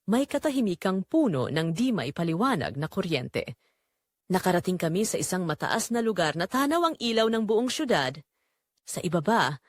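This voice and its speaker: A woman with a Filipino accent reading a scripted first-person romantic drama as a story narrator, in a measured, dramatic storytelling manner. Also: mid-range voice, no room sound, clean studio sound.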